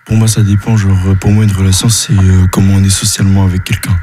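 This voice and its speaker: Low-voiced relaxed teenage boy. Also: fast, faint music behind. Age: teens. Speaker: guest.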